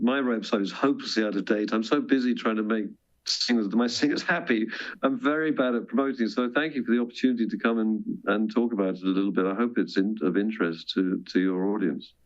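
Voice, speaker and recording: British guy, lowish voice, rambly and modest interview guest, bit dull lo-fi call sound. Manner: rambling, self-interrupting, self-deprecating. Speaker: guest, composer and choir director. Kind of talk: interview conversation, personal storytelling.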